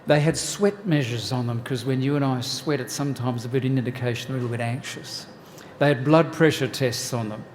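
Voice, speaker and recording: An Australian man in his sixties talks to a church gathering in a relaxed, joking way, with plenty of "uh" fillers. His mid-pitched voice carries a long echo from the church hall. A faint hiss sits under it. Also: brisk, few pauses. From New South Wales, Australia. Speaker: family ministries pastor. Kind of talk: church workshop talk.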